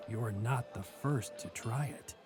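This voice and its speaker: A man playing a world-weary, menacing prison inmate in an audio drama sketch, speaking in a deliberate, scornful, taunting way. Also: medium pitch, darkish tone, faint music behind, lightly acted. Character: mocking, world-weary male prison bunk mate, menacing.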